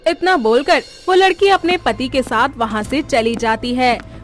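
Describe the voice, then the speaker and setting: Female storyteller, high register, scripted cartoon narration over faint music. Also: lively intonation, unhurried.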